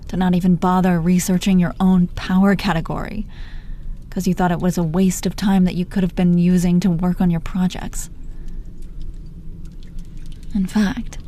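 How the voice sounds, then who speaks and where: A woman's voice: lowish voice, dark tone, lightly acted. A woman playing a teasing, protective female supervillain in her thirties in an intimate roleplay audio drama, foul-mouthed but coaxing, full of pet names. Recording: noticeable background noise.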